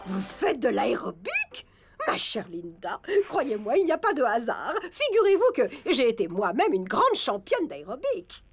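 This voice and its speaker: A woman's voice: a little high, wildly swooping pitch, measured pace, exaggerated voice. A woman voicing a boastful, overbearing, gushing neighbour lady in a children's cartoon. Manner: pompous, gushing. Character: boastful, overbearing, chatty female elephant neighbour. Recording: loud music behind the voice.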